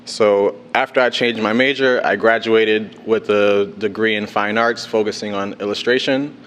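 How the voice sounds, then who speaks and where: A man's voice: on the low side, steady tone, normal speed, fairly bright tone. A young man from Connecticut, an artist telling his story on a panel in a loose, informal way. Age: young adult. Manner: informal, with fillers and restarts. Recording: long hall reverb.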